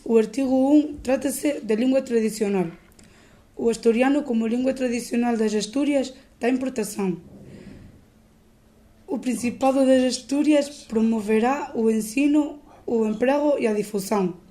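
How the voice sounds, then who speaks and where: Woman reading, soft tone. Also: on the high side, a little slow. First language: Portuguese. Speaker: student presenter. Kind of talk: reading a prepared text aloud.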